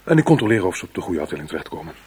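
An actor playing a nervous, apologetic records clerk in a radio play, speaking haltingly. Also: male voice, deep voice, big pitch swings, darkish tone, a little hiss or hum. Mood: hesitant. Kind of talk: radio drama acting.

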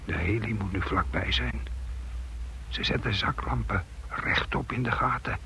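An actor playing a watchful scout who reports in hushed, terse remarks in a radio play. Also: male voice, on the low side, a little slow, slight background noise. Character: male scout soldier, watchful, quietly reporting. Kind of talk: Dutch radio play acting.

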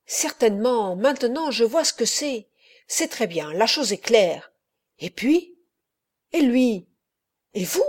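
A woman reading aloud, voicing a worried older peasant mother who mutters hushed asides to herself. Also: on the high side, expressive, takes it slowly, pauses often. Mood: feigned interest.

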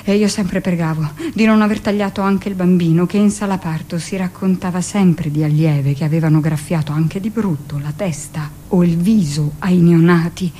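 An actress playing a hardened middle-aged hospital midwife, speaking a dramatic monologue in a deliberate, confiding, matter-of-fact way. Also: female voice, fairly low pitch, dark tone, lightly acted. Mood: confiding, intense. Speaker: actress performing a radio monologue. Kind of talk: dramatic first-person theatrical monologue.

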